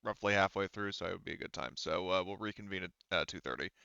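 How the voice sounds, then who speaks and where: Male game master, fast-talking, clean resonant tone, casual explanatory style, slightly muffled dry recording. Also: low-pitched, vocal fry, bright, forceful tone, clear, resonant tone, dry recording. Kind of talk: casual explanation and table management.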